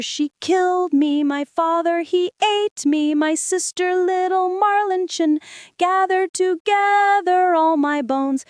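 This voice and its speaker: A woman's voice: very high-pitched, darkish tone, dry recording, voice pushed up. A woman voicing a magical singing bird, chanting its song in a sing-song way. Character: magical singing bird, boy's spirit, eerie.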